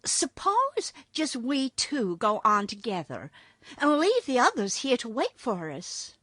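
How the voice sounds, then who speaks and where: A woman's voice: wildly swooping pitch, slow, a bit bright, no room sound, clean studio sound, full character voice. A woman doing an earnest little girl. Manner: high, breathy and eager.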